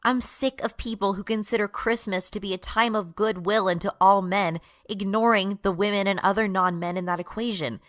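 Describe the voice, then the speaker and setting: Woman playing a forceful, fed-up retail worker. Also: medium pitch, steady tone, moderate pace, hardly any pauses, lightly acted. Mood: exasperated. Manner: exasperated, ranting. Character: fed-up non-binary retail worker turned rebel leader. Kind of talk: radio drama monologue.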